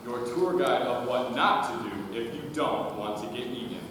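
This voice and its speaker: A man's voice: medium pitch, animated, normal speed, a bit bright, natural voice. A man playing a bombastic, self-promoting explorer and tour guide in a theatrical stage comedy, with grand declamatory delivery. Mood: grandly boastful. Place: high school theater stage, distant mic. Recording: echoey hall from far off, noisy.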